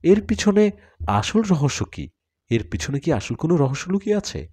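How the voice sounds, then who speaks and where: Animated male storyteller with mellow voice. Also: fairly high pitch, moderate pace, slightly rough. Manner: expressive, acts out dialogue. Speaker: story narrator. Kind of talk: first-person dramatic audio story narration.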